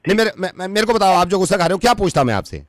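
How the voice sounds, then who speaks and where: A man's voice: high-pitched, sing-song, racing, no room sound, studio quality, soft background music, pitched above the performer's own voice. A man playing a stubborn, defensive car dealership agent who argues and repeats himself on a prank call.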